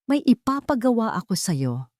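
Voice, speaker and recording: A woman reads the part of a wealthy widowed father, guarded and cold. He gives orders in a low, flat, emotionless voice. The sound is close and dry. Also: moderate pace, no room sound. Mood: cold commanding.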